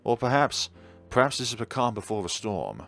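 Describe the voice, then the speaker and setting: A man's voice: mid-range voice, very animated, moderate pace, creaky, fairly bright tone, soft background music. A man reading a young female student's anxious inner thoughts aloud in his own voice. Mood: worried. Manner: worried, self-doubting.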